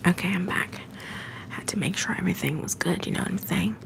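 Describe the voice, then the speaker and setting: Soft-whispering young woman livestreamer. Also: fairly low pitch, brisk, creaky. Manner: soft whisper, affectionate and encouraging. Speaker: livestream host. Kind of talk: whispered livestream chat with viewers. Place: at home.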